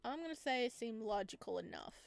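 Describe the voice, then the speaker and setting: A woman's voice: on the high side, huge pitch swings, a little fast, vocal fry, no echo. A woman giving chatty, playful commentary on a playthrough, reading lines aloud as she goes. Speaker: game streamer. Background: game music.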